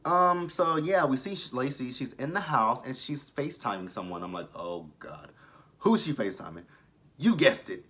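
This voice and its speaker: A man's voice: mid-range voice, animated, normal speed, fairly bright tone. A man of thirty giving a casual, blunt and opinionated review of a TV episode, swearing freely. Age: 30s. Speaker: TV show reviewer. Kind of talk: casual review vlog commentary.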